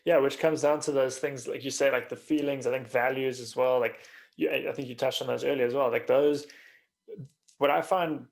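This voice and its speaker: A man hosts a relaxed podcast conversation in a creaky, mid-pitched voice. He thinks aloud informally, feeling his way toward each point in halting phrases. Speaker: podcast host. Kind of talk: casual interview conversation.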